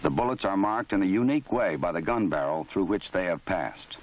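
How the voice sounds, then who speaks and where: An American man reading formal scripted narration for a training film in a measured, authoritative, matter-of-fact way. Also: sits low, animated, a little hiss or hum. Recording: dull lo-fi 1970s film soundtrack.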